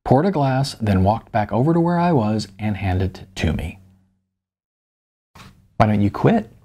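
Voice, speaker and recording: A man's voice: on the low side, sing-song, moderate pace, dark tone. A man reading his own first-person audiobook in a conversational, informal way.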